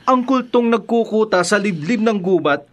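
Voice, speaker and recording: Very high-voiced young guy, urgent, polite to the cops. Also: animated, normal speed. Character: bruised young man seeking police help.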